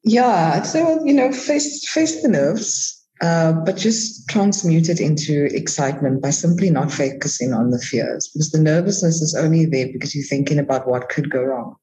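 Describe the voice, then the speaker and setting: A woman's voice: low-pitched, normal speed, hardly any pauses, warm tone. A woman chatting informally and encouragingly as a podcast guest, on slightly lo-fi audio. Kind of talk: casual conversational interview.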